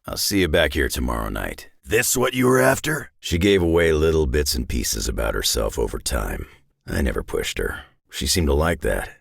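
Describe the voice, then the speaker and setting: A battle-worn old brawler for a video game. The voice is extremely low and full of gravel, husky at the edges. The delivery is terse, wry and cynical, like a folksy tough guy who has seen it all. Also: a bit bright, dry recording, clean studio sound, full character voice. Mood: aggressive, menacing. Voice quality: gravelly, growling rasp. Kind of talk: video game character voice lines.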